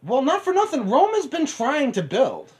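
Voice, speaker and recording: A young man from upstate New York co-hosting a podcast, talking excitably, laughing often and swearing. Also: extremely high pitch, moderate pace. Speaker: podcast co-host. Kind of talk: casual chat.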